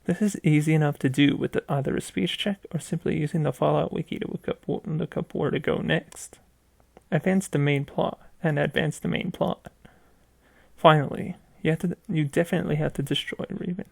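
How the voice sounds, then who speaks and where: A man's voice: a little high, steady tone, moderate pace, gravelly, a little mellow, husky, dry recording. A man reading a scripted story aloud as narrator, stumbling now and then and repeating phrases. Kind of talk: scripted narration of a video game mystery story.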